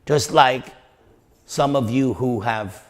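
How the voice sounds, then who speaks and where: A man's voice: medium pitch, slow. A man with a New York accent giving a devotional lecture to a congregation, stressing and repeating key phrases and putting questions to his listeners. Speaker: preacher. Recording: long reverb of a hall.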